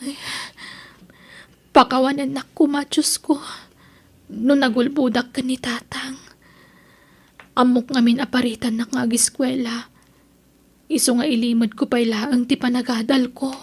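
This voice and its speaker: A woman's voice: high voice, little pitch movement, a little slow, long pauses, husky, exaggerated voice. A woman playing a dutiful, grateful daughter in her twenties in an Ilocano radio drama, earnest and deferential, with an Ilocano accent. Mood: confiding.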